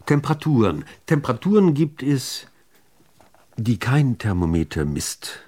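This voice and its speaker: Guy reading poetry, really deep voice, measured w pauses, lots of movement in the intonation. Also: warm tone, husky. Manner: deliberate, measured phrasing with pauses. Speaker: reader of poetry. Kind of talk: literary poetry recitation.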